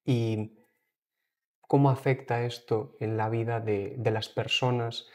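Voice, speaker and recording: Interviewer: man, medium pitch, conversational, slightly hesitant, unfolding long questions. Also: flat delivery, takes it slowly, darkish tone. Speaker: podcast interviewer.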